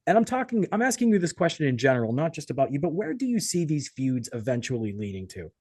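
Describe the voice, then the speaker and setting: A man's voice: a little high, very animated, fast talker, a little mellow, dry recording, clean studio sound. An American man hosting a podcast, asking questions and chatting casually in a conversational interview.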